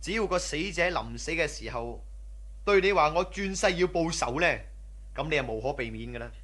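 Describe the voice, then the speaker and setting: An actor playing a cautious, superstitious bandit in a radio drama, earnest and nagging. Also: male voice, on the high side, animated, fairly quick, fairly bright tone. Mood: earnest warning. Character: cautious, superstitious male bandit, loyal underling. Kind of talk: Cantonese radio drama acting.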